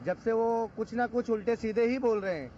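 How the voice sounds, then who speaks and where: Man, very high voice, street vox pop, dull sound with slight traffic noise. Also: fairly even intonation, fairly quick, mellow. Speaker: member of the public. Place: outdoors on a city street.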